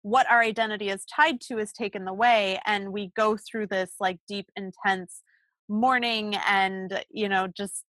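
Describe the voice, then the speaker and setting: A woman co-hosting a podcast in casual chat, succinct and quick to laugh, recorded in a small closet. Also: medium pitch, steady tone, fairly bright tone, no echo. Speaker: podcast co-host.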